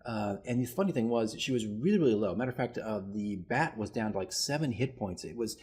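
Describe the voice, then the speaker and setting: A man's voice: lowish voice, animated, brisk, a little mellow, husky. A man speaking casually as a dungeon master recapping a game, rambling and self-deprecating as he tells the story. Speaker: dungeon master keeping a campaign diary.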